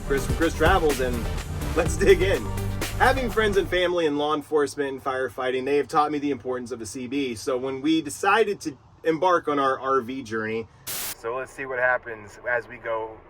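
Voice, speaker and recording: A man's voice: mid-range voice, moderate pace, fairly bright tone, faint music behind. A man hosting a casual product review vlog in an enthusiastic way. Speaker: video host reviewing gear.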